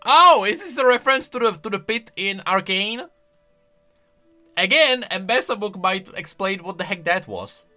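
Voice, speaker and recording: A man's voice: high-pitched, expressive, normal speed, sharp tone, faint music behind. A man giving casual, conversational reaction commentary on video game lore, musing aloud as he goes.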